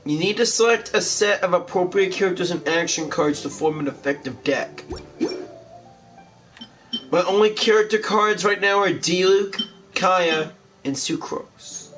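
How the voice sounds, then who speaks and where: A man streams a video game walkthrough. His voice is high for a man, and he reads instructions and game text aloud as he goes. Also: wildly swooping pitch, a little slow, pauses often, a bit bright, soft background music.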